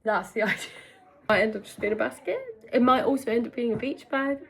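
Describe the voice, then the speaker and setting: A young British woman chatting casually to camera as a vlogger, chatty and self-deprecating, with a husky voice hoarse from illness. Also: a little high, a little fast. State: hoarse from being ill. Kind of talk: casual vlog chat to camera.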